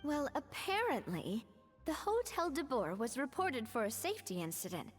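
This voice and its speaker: A woman voicing a friendly, composed young woman who explains things in a measured way in a video game. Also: high voice, sing-song, in a large hall, over music. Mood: explanatory. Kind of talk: anime-style video game voice acting.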